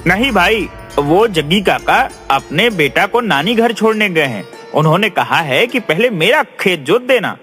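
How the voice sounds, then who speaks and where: A man's voice: high voice, sing-song, moderate pace, bright, forceful tone, full character voice. A man playing an honest, kind young village farmer in a cartoon, speaking earnestly and politely. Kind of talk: animated Hindi moral-story cartoon acting. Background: faint music.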